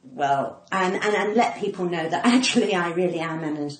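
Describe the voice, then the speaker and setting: A woman's voice: lowish voice, normal speed. A woman in her sixties with a cut-glass English accent, chatting casually and theatrically as a podcast guest, often emphatic and self-mocking. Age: 60s. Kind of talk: casual chat. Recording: roomy echo, faint background noise.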